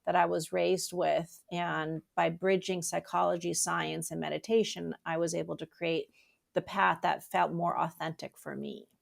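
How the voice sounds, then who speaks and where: A woman's voice: lowish voice, steady tone, moderate pace, gravelly. A middle-aged woman from Germany telling her personal story as a therapist being interviewed. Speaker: interview guest, therapist and author.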